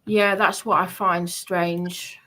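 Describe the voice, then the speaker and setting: Bright woman, lowish pitch. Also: flat delivery, slow pace. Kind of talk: casual chat.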